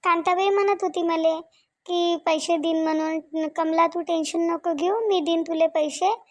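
Woman acting a high-voiced, pleading village wife. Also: fairly even intonation, normal speed, darkish tone. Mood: meek. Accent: rural Vidarbha Marathi. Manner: pleading, repeats phrases. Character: tearful, frightened village wife begging forgiveness. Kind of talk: amateur Marathi village family drama.

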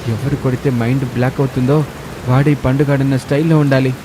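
A man's voice: mid-range voice, level tone, brisk, background noise, natural voice. A man voicing a self-pitying husband crow in a bird cartoon, speaking his thoughts as dramatic inner monologue. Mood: eager, hopeful.

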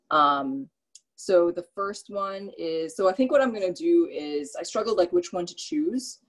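A woman who grew up in the Philippines teaching a casual cooking class over a video call from her home kitchen, talking in a relaxed, rambling way with many fillers. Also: sits low, poor audio. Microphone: laptop webcam on a video call.

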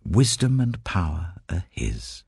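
A man voicing a wise, devout prophet in a scripture audiobook, speaking slowly, solemnly and with declamatory weight. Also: deep voice, big pitch swings, a little mellow, slightly rough, dry recording, studio quality, heavily acted. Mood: reverent praise.

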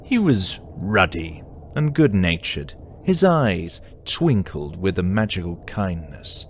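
A man playing the storyteller of a festive comic audio drama, narrating in a measured storybook way. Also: deep voice, very animated, slight background noise, heavily acted. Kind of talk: scripted narration.

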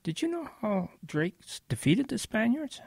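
A man playing a wistful old grandfather in an audio drama, telling his wartime boyhood in a gentle, reflective way with a husky voice. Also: high voice, big pitch swings, normal speed, dry recording, studio quality, heavily acted.

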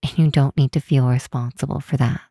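A woman playing a regal, protective demon queen in a comforting ASMR roleplay, speaking softly and soothingly in a deliberate, hushed way. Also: a bass voice, steady tone, no room sound, studio quality, full character voice. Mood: gentle, consoling. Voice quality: soft, breathy, hushed.

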